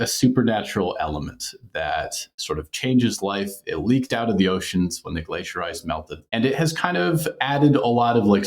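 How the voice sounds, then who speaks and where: A man speaking casually as an interview guest, explaining his creative work in a thoughtful, hedging way. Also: sits low.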